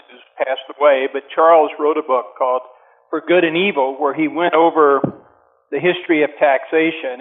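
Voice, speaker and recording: A man speaking as an interview guest over the telephone, explaining his views in a deliberate, emphatic way with pauses. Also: a little high. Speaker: advocacy group president, interview guest. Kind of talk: phone interview, explanatory opinion talk. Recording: narrow-band tinny phone line.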